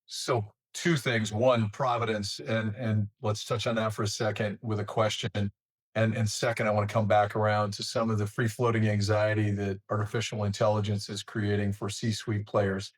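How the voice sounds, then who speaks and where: A man with a fairly low voice hosts an interview. He builds his questions deliberately, in long wordy phrases broken by pauses. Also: level tone. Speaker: interview host.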